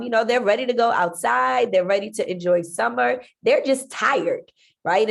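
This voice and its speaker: A woman's voice: fairly high pitch, vocal fry. A woman with a stuffy, nasal voice from congestion, running an online parent meeting as a school leader and talking in a friendly way with frequent ums. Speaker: school principal.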